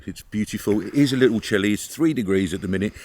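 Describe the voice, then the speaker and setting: A man's voice: lowish voice, quick pace, a little mellow. A middle-aged British man chatting casually to camera as a travel vlogger. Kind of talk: casual vlog chat to camera. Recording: noisy vehicle and outdoor ambience.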